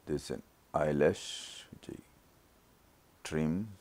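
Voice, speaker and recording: Very deep, slow-talking male surgeon. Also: a little mellow. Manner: slow, measured, step-by-step explanation. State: recovering from a throat infection, voice not normal. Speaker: eye surgeon narrating his surgery. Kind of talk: slow surgical teaching narration.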